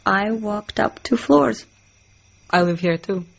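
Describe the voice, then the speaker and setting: A woman's voice: medium pitch, takes it slowly, gravelly, breathy edge, full character voice. An actress playing a coy, demure young Russian woman spy in a radio drama. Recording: dull, muffled sound.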